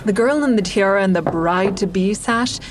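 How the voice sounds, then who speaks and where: A woman's voice: medium pitch, moderate pace, some chatter behind, natural voice. An actress playing a wry stand-up comedian who narrates her own story conversationally in an audio drama. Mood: wry, reflective.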